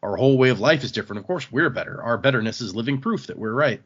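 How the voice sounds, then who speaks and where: A man's voice: medium pitch, animated, fast, slightly rough, muffled recording. A man hosting a podcast, chatting casually and reading listener emails aloud in an easygoing, agreeable manner.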